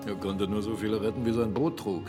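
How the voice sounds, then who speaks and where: An actor playing a brisk, ambitious captain in a radio drama, commanding and decisive in manner. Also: male voice, fairly low pitch, fairly even intonation, music in the background, lightly acted. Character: brisk, ambitious male captain used to giving orders. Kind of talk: German radio drama acting.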